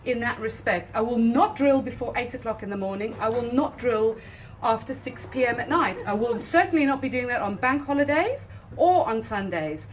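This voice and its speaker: A woman at her front door, arguing firmly and loudly with a neighbour, caught on a phone held in her hand. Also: fairly high pitch, expressive, moderate pace. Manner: loud, firm, insistent. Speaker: homeowner doing building work. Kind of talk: heated doorstep argument. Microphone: phone held in hand, pointed away. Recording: distant phone recording, faint street noise.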